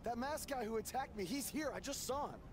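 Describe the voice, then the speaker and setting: A man's voice: very high voice, lively intonation, brisk, noisy background. A man playing an earnest, wary, exasperated pro wrestler in a video game cutscene. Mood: alarmed.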